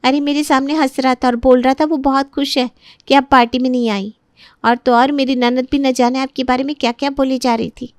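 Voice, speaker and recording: A woman acts out a young pregnant daughter-in-law in a family storytelling drama. Her voice is high and recorded very dry. She speaks as a resentful, gossiping in-law nursing her grievances. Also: steady tone, fairly quick, clean tone, dry recording, natural voice. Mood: gossiping, aggrieved.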